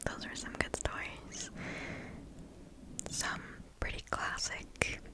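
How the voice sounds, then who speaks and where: A woman hosting ASMR, reading and chatting in a soft, breathy, unvoiced whisper very close to the microphone. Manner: soft, slow, unhurried. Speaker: ASMR video host.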